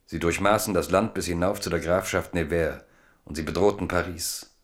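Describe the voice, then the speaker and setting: Male narrator for radio drama: very low voice, measured literary delivery. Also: fairly even intonation. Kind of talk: scripted narration.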